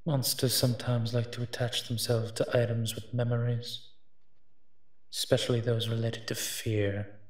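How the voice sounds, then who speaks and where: A man playing a teasing, protective monster detective in human form, gentle and husky, in an intimate audio roleplay. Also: medium pitch, little pitch movement, dry recording, lightly acted. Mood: soft, wry. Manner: slow, teasing, tender endearments.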